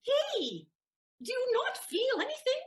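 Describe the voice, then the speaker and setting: An actress plays a sharp-witted young maid who teases and mocks. Her voice sits high and leaps around with lively swings in pitch. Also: slow pace, exaggerated voice. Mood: pointed. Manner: teasing, sharp-witted, mocking. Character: Susanna, clever teasing young maid, female. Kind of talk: stage audio play acting.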